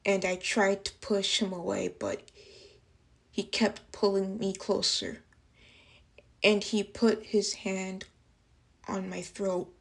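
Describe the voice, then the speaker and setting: A woman playing a traumatized young waitress in a dramatic monologue, speaking haltingly in broken phrases. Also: mid-range voice, vocal fry. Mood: uneasy, halting. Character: traumatized young working-class waitress recounting an assault. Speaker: actress performing a film monologue.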